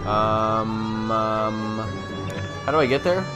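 A man's voice: on the low side, slow pace. A man giving casual, slangy gameplay commentary, playful and exclaiming, with some swearing. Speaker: gamer doing a video game walkthrough. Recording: game music behind the voice. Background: game music and vehicle sounds.

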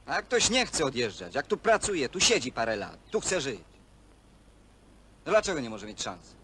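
An actor playing a lonely, bitter young hostel worker in a naturalistic film drama, speaking plainly and haltingly. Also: male voice, medium pitch, huge pitch swings, a bit bright. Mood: aggrieved. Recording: noisy old film soundtrack.

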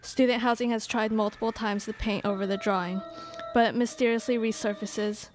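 A woman's voice: fairly high pitch, steady tone, a little fast, over music, natural voice. A young woman playing a teasing, dramatic college student with a florid delivery in a student radio play. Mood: spooky, teasing. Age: young adult. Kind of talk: student live radio play, read from script. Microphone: stage microphone on stand. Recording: noisy live studio pickup with clicks.

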